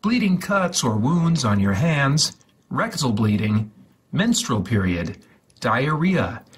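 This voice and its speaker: Husky man reading aloud very slowly. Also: medium pitch, sing-song. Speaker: host of a gaming livestream channel. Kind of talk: slow, deliberate reading aloud of an instruction leaflet.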